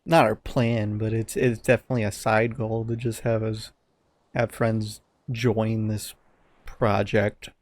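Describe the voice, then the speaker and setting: A man's voice: on the low side, level tone, measured pace, a little mellow, no room sound. A young man co-hosting a podcast in an informal, slightly sardonic conversational style. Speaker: podcast co-host. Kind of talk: casual podcast chat.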